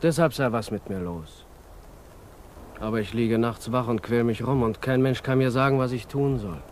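A man's voice: mid-range voice, moderate pace, darkish tone, lightly acted. An actor playing a restless, rebellious young man in a 1950s German radio play, blunt and moody. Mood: defiant, troubled. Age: young adult. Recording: 1950s radio recording, faint noise.

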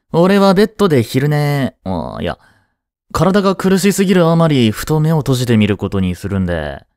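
A man acting a cheeky, laid-back high-school slacker in a romantic audio drama, with casual, teasing, blunt slang. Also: darkish tone, no echo, studio quality. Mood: casual, nonchalant.

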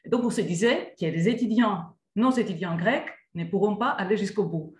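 A woman panel speaker giving a measured, courteous address. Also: mid-range voice, roomy sound. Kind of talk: formal address.

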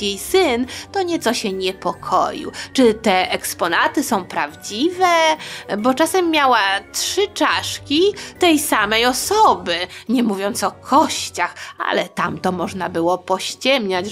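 A woman's voice: medium pitch, fairly bright tone. A woman storyteller narrating history for young listeners in a chatty, colloquial, tongue-in-cheek way. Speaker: storyteller and host.

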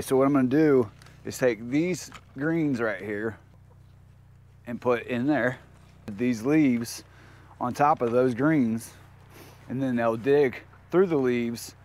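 A man's voice: medium pitch, takes it slowly, pauses often, darkish tone. A man chatting casually and informally as a homestead vlogger, using folksy slang, recorded outdoors on a handheld camera. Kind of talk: casual vlog chat, talking to viewers and animals. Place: outdoors in a backyard chicken run.

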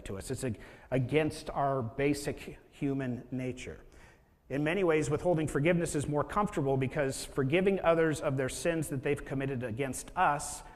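A man in his thirties preaching a sermon as pastor, chatty, with rhetorical questions and acted-out inner thoughts. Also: medium pitch. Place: church sanctuary with a stage. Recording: long reverb of a church hall.

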